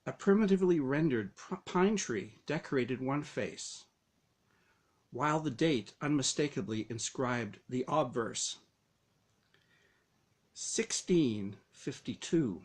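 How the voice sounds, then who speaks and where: Slow, expressive male storyteller. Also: medium pitch. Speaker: author reading own story. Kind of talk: scripted first-person story narration.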